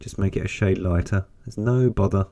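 A man with a cold, croaky and deep, chatting through a step-by-step painting lesson with an encouraging manner. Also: normal speed, warm tone, dry recording. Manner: chatty, encouraging, thinks aloud while painting. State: hoarse with a cold. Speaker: painting teacher.

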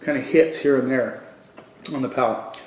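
A middle-aged man giving a casual wine review on video, thinking aloud haltingly and repeating words for emphasis. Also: medium pitch, darkish tone. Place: living room at home, indoors. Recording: echoey room.

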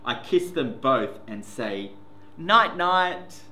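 A man hosts story time, reading a picture book to young children in an expressive, sing-song way. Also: medium pitch, slow. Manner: expressive, sing-song, addressing small children with simple words. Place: indoor classroom reading corner. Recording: roomy echo with faint background noise.

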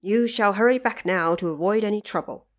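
A woman's voice: medium pitch, brisk, lightly acted. Cautious old monk, read by a woman. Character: elderly male monk, cautious temple elder.

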